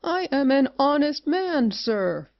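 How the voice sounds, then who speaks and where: A man's voice: very high-pitched, very animated, slow, dry recording, dull audio, heavily acted. An actor playing a pious, indignant creditor in a period comedy, scolding righteously as he demands his money. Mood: aggrieved. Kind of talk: theatrical period comedy acting.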